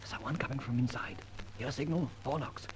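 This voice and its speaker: An actor playing a dashing, flippant gentleman adventurer in an old-time radio detective drama, with a theatrical, wry and wordy manner. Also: medium pitch, brisk, noisy background, natural voice. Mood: alert, hushed.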